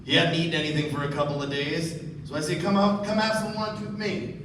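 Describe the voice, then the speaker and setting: A male actor plays a self-important, slippery underworld businessman in a radio play. The voice is on the high side and emphatic. It sounds distant and very echoey, with clear noise behind it. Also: fairly even intonation, fairly quick, natural voice. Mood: boastful. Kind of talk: live radio play acting. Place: live stage performance.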